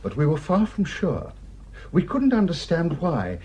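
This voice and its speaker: Mellow, lilting, shrewd village rector. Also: male voice, on the high side, huge pitch swings, a little slow, slight background noise. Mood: gently accusing. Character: courteous, shrewd male village rector, gently relentless. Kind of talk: radio drama acting.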